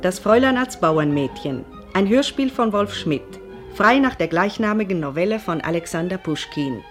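A woman's voice: fairly low pitch, animated, normal speed. A woman reading the closing credits of a radio play as a scripted announcement. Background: music.

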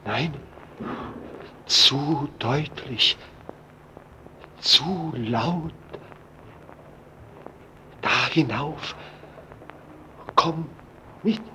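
A man's voice: on the high side, extremely slow, pauses often, bright, forceful tone, a little hiss or hum, heavily acted, low-quality recording. A man playing a frightened companion in a 1930s radio drama, urging in an uneasy voice. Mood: urgent.

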